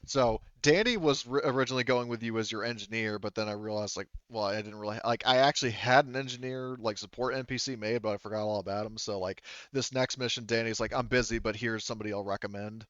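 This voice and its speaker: A man acts as game master at a tabletop roleplaying session. His voice is low and smooth, and he thinks aloud haltingly as he improvises characters. The recording is close and dry but a little dull. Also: fairly bright tone, no echo.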